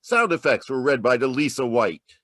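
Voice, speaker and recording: A man announcing in a scripted old-time radio style, theatrical and deliberate. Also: mid-range voice, sing-song, fairly bright tone, no room sound, clean studio sound.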